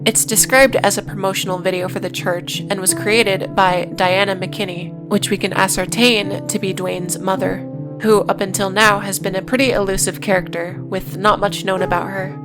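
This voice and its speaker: A woman's voice: mid-range voice, flat delivery, moderate pace, music in the background. A woman hosting a video essay, reading scripted narration with casual, sardonic asides.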